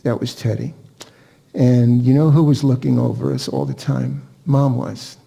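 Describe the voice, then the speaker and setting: An older man giving an informal, personal eulogy, reflective and halting, with pauses. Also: mid-range voice, fairly even intonation, creaky, dark tone, breathy edge. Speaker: family member giving a eulogy. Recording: spoken at a funeral service.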